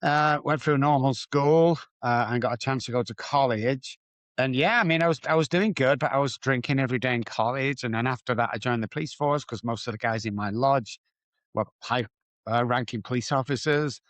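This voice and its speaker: A man from England with a Manchester accent, speaking as an addiction recovery coach in a podcast interview, energetic with quick restarts. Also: medium pitch, no room sound. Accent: Manchester English.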